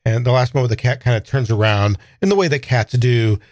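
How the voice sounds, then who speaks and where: A man's voice: mid-range voice, fairly quick, darkish tone, no echo, studio quality. A man improvising descriptive, conversational story narration in a tabletop roleplaying game.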